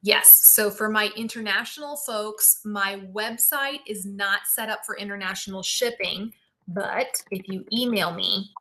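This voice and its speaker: A middle-aged woman hosting a live physical therapy Q&A, with a bright, forward voice. She teaches in an upbeat, chatty way, often checking in with her viewers. She projects loudly into her device's own mic. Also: medium pitch, measured pace, rough recording. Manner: enthusiastic, frequent "right?" check-ins. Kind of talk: live-stream Q&A, casual teaching. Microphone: built-in device mic.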